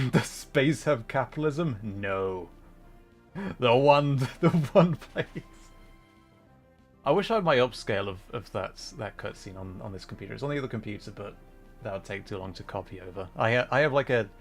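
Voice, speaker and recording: A man hosting a gaming livestream, chatting casually and musing aloud in a halting way. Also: medium pitch, lively intonation, faint music behind. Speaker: livestream host.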